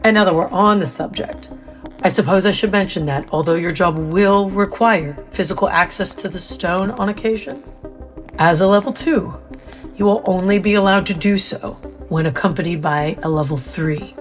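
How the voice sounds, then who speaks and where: A woman playing a composed, officious official at a secretive installation in an audio drama, formal and measured. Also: on the low side, soft background music, lightly acted. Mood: composed, businesslike.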